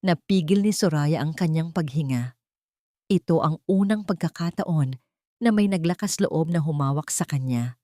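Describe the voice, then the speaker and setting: A woman narrating a scripted dramatic story in a measured, emotive storytelling manner. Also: low-pitched, mellow, no echo, clean studio sound.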